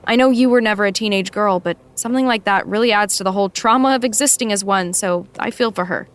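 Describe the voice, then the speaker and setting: A woman's voice: mid-range voice, fast, lightly acted. A woman playing a blunt, exasperated assistant in an audio drama, firm, articulate and dryly sarcastic. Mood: dry, brisk. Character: blunt, exasperated female assistant scolding her boss. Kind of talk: naturalistic audio drama acting.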